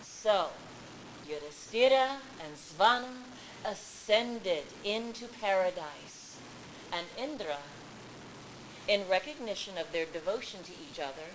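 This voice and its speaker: A woman reading a story aloud to a live audience with deliberate, emphatic phrasing. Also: medium pitch, animated, low-quality recording. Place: public library event room. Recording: live public event with background noise.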